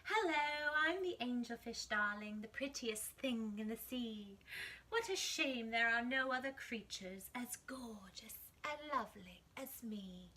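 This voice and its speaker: A vain lady angelfish from a children's storybook, voiced by a woman reader. She gushes about herself in a high, very bright voice, preening over every drawn-out word. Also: rough recording. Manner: gushing, self-admiring, drawn-out. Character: vain, preening female angelfish in a rhyming picture book.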